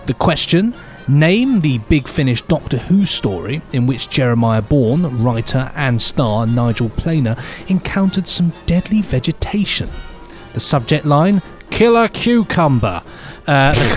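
A man's voice: medium pitch, expressive, a little slow, faint music behind. A man co-hosting a podcast in casual, whimsical and playful chat. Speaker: podcast co-host.

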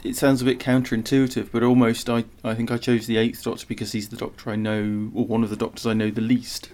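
A man's voice: mid-range voice, flat delivery, fast talker, a little mellow. A man talking casually in an interview as a writer explaining his process. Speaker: short story writer. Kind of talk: casual interview talk about writing.

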